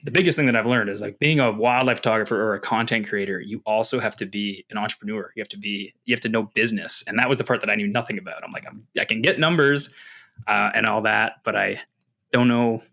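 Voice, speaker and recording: A man's voice: on the low side, fast, vocal fry, pushed and bright. A Canadian man from Ontario talking casually and with animation about himself as a guest, in a self-deprecating way. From London, Ontario, Canada. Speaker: podcast guest, wildlife photographer and content creator. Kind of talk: casual personal storytelling.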